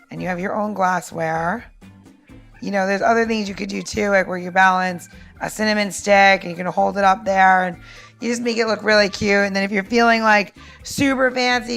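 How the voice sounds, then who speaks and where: A woman with a mid-pitched voice, chatting in a breezy, informal way as a drinks expert on a podcast. Her delivery is playful and loose, explaining as she goes. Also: a bit bright. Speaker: cocktail maker on a podcast. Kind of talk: casual chat, explaining drinks.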